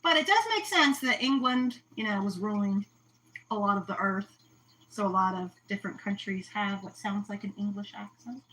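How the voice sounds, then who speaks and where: Chatty woman host, crisp bright voice, loves telling stories. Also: medium pitch, moderate pace, a little hiss or hum, poor audio. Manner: chatty, storytelling, laughs often. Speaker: host of an aquarium livestream. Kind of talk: casual chat.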